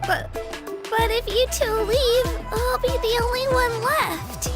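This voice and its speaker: A young warrior girl with a very high, childlike voice. She is earnest and polite, a little unsure of herself yet plucky, as in a fantasy video game. Music plays clearly behind her. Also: slow. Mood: downcast, resigned.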